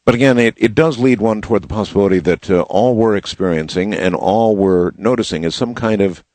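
Low-voiced male radio host, close and dry. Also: a little fast, a little mellow, no room sound. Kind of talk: late-night radio talk.

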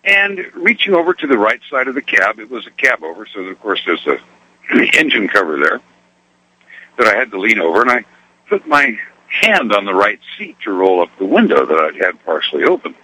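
Animated male caller with a husky voice. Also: on the low side, brisk, low-quality recording. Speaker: radio show caller, trucker. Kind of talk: unhurried anecdotal storytelling.

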